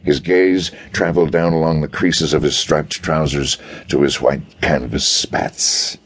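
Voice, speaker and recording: A man with a gravelly, husky voice reading pulp detective fiction as a dramatic audiobook narrator. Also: extremely low pitch, fairly even intonation, a little slow.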